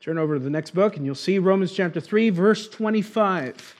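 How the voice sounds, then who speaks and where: A man with Argentine roots preaching an expository sermon, slowing down to stress key words. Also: high voice. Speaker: pastor.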